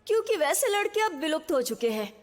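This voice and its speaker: Woman acting a very high, teasing schoolgirl. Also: big pitch swings, fast talker. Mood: mocking. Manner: mocking, unimpressed. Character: teasing, blunt teenage girl friend. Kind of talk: Hindi anime dub acting. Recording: faint music behind.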